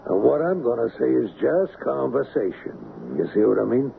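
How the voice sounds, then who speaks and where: A cagey radio drama hoodlum, super gravelly, slangy, hesitant, thin phone-like sound with hiss. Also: male voice, mid-range voice, lively intonation, moderate pace. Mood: cagey, bargaining. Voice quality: gravelly, creaky. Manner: hesitant, hedging, streetwise slang. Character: shifty small-time male criminal, bargaining, wary. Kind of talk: old-time radio drama acting. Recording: tinny, band-limited, noisy old radio recording.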